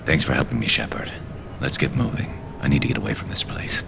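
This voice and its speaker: A grim, gravelly sniper. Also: male voice, rumbling low, sing-song, quick pace, noisy background, full character voice. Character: grim male ex-cop sniper seeking revenge.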